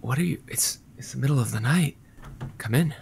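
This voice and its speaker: A gravelly, ambitious radio doctor. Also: male voice, medium pitch, animated, brisk, heavy background noise. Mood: persuasive. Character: smooth, ambitious male doctor and radio advice guest. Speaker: guest advice doctor character. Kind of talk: scripted audio drama.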